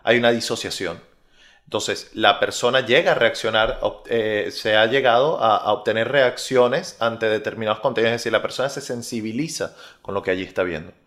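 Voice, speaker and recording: A young Venezuelan man with a Venezuelan Spanish accent, a researcher answering interview questions in a measured way with frequent pauses. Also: fairly low pitch, fairly bright tone. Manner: measured, many filler "eh" pauses.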